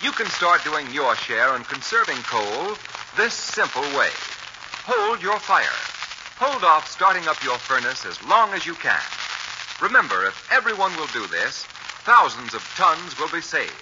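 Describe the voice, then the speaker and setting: An American male announcer from 1940s radio, with a fairly high, very animated voice. He reads in a formal, declamatory way. The recording is muffled and noisy. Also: measured pace.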